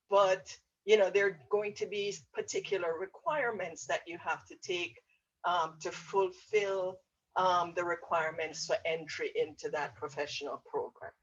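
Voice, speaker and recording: A woman's voice: lowish voice, highs cut off. A woman from Jamaica with a Jamaican accent, a professor explaining things to students in a webinar in hesitant, piecemeal phrases. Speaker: university chemistry professor on a panel.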